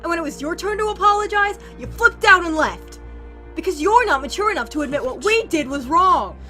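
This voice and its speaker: Woman playing sarcastic angry sister, apocalypse survivor, high voice, picks fights and swears. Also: animated, a little fast, pushed and bright, soft background music, heavily acted. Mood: sarcastic, accusing. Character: bitter, sarcastic, combative sister, former drug dealer, injured survivor. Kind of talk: audio drama acting in a zombie apocalypse parody.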